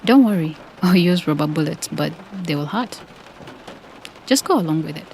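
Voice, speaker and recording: A woman's voice: deep voice, lively intonation, moderate pace, a little mellow, a little hiss or hum. A woman playing a cool, commanding leader of a covert mission in an audio drama. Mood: commanding, grim. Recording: music, rain and vehicle sound behind.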